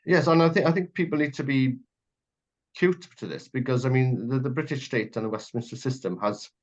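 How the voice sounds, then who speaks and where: A man's voice: medium pitch, a little fast. A man with a Welsh accent hosting a conversational political interview, talking emphatically and at length in piled-up clauses. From Wales. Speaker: radio interview host. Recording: dull, muffled lo-fi audio.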